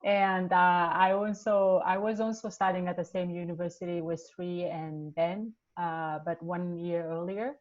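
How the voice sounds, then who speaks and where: A woman's voice: lowish voice, fairly even intonation, unhurried, few pauses. A woman from Vietnam introducing herself as a webinar panelist, friendly and a little hesitant. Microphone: video call. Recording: dull video-call audio.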